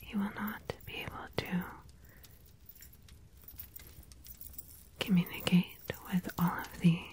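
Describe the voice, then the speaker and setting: Woman acting female tarot medium, ASMR roleplay, breathy whisper, unhurried, mysterious, gentle, long pauses. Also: mid-range voice, natural voice. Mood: gentle, inviting. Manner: slow, hushed, deliberate, with long pauses.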